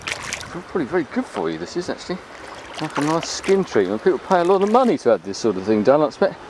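A man's voice: fairly high pitch, very animated, fast. Man chatting over outdoor noise. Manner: chatty, rambling. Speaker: vlogger filming a beach walk. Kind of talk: casual, unscripted walking commentary. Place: open beach at low tide. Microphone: handheld camera's built-in microphone. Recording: outdoor handheld recording, clear wind and water noise.

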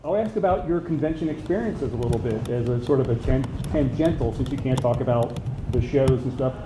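A man's voice: medium pitch, a little fast, background noise. A man asking a careful, winding question from the audience at a convention panel. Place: convention hall. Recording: echoey hall.

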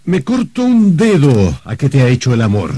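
An actor playing a flustered, suspicious husband in an old radio drama, theatrical and prone to stammering. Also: male voice, medium pitch, wildly swooping pitch, normal speed, warm tone, breathy edge, no room sound. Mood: jealous, indignant. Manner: theatrical, stammering when startled.

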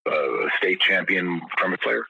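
A man's voice: low-pitched, animated, normal speed, gravelly, bright, forceful tone, breathy edge, no room sound, dull audio. A man guest in an interview, telling long stories with many restarts and repeated words. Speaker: guest musician. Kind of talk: casual interview storytelling.

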